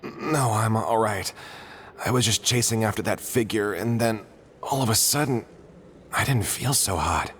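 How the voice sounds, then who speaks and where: A man's voice: lowish voice, fairly bright tone, a little hiss or hum. A man voicing a loyal, anxious young man in a game who speaks hesitantly, stumbling over his words. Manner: hesitant, halting, pleading. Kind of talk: voiced game dialogue.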